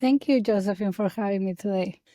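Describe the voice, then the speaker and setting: Woman from chile, lots of uh and restarts, mellow tone, dry sound. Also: medium pitch, dry recording. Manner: halting, many fillers and restarts. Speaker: guest, data analyst. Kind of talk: casual interview talk.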